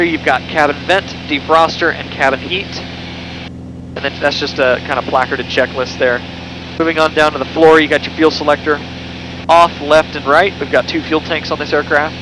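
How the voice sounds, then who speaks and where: A young man giving a casual, conversational cockpit tour, speaking through a headset mic in a small airplane in flight. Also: fairly high pitch. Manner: relaxed, conversational, with fillers and self-corrections. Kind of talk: casual explanatory walkthrough. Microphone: headset boom mic. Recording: engine noise through intercom. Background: steady engine drone.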